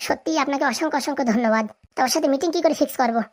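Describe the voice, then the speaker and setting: A woman's voice: high voice, very fast, natural voice. A woman voicing an earnest, eager visitor out for revenge in a comedy cartoon, speaking colloquially and deferentially. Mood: determined. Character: earnest, vengeful visitor seeking evidence.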